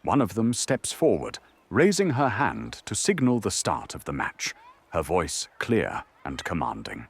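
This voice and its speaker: Deep gravelly man narrating with dramatic swings. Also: big pitch swings, unhurried.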